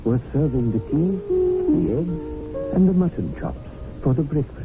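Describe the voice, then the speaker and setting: Wry, deferential servant-narrator, tinny radio. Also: male voice, on the high side, huge pitch swings, music in the background, full character voice. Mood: measured storytelling. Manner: formal, flowery, measured phrasing. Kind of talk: 1970s radio drama narration and acting. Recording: noisy narrow-band old radio broadcast.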